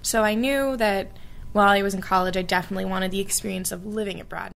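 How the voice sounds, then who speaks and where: Earnest young American woman with vocal fry. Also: mid-range voice, fairly even intonation, fast, fairly bright tone. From United States. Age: young adult. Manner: earnest and heartfelt, with frequent fillers. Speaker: study abroad alumna. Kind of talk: reflective interview answers.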